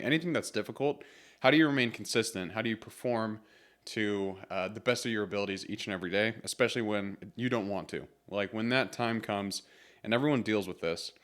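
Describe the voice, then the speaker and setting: A man's voice: fairly low pitch, fairly bright tone. Congested man hosting a podcast. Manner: casual, rambling with fillers and restarts. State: congested from a cold. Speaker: podcast host. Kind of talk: solo motivational podcast talk.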